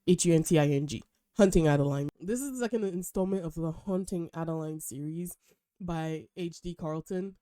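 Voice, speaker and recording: A young woman hosting a casual book review vlog, chatty and animated with slang, sounding a little nasal from a cold. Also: on the low side, moderate pace, no echo, studio quality. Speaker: host.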